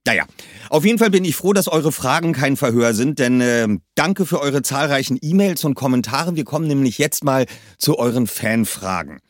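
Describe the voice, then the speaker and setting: A man's voice: mid-range voice, animated, normal speed, dry recording, clean studio sound. A male podcast host presenting casually, chatty and upbeat, introducing listener questions.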